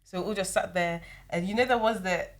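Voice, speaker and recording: Fast-talking young woman telling a story. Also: on the low side. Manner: chatty, animated storyteller who acts out quotes. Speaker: podcast co-host. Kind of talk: casual chat, storytelling.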